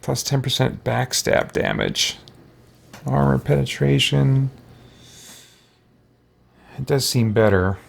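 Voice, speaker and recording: Man muttering through a game, gravelly fry. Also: medium pitch, slow, pauses often. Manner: muttering to himself, mild exclamations. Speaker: host of a role-play gaming playthrough. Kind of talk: casual gameplay commentary, thinking aloud.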